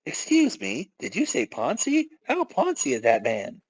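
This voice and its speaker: A man voicing an earnest, excitable youngster devoted to an older brother. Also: a little high, unhurried, husky, exaggerated voice. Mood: eager.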